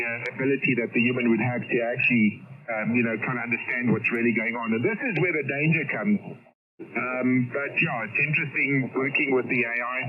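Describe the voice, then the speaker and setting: Man over muffled shortwave radio. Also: medium pitch. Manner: halting, thoughtful, explanatory. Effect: shortwave radio transmission. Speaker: amateur radio operator. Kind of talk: casual radio chat, reflective monologue. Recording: muffled band-limited radio signal, loud babble.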